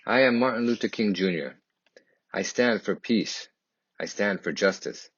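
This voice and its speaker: A man reads a children's picture book aloud in a husky voice, with expressive, emphatic and dramatic phrasing. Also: on the low side, unhurried. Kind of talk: expressive read-aloud storytelling. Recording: dull, lo-fi, echoey room.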